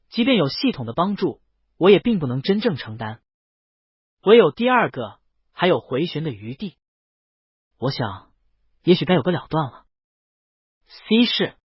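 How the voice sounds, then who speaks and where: Male audiobook narrator, animated intonation, husky tone, dry lo-fi recording. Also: a little high, no echo. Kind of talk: scripted first-person story narration.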